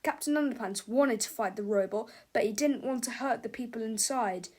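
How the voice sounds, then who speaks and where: A woman's voice: fairly high pitch, normal speed, a little mellow, breathy edge. A woman reading a children's book aloud as scripted narration.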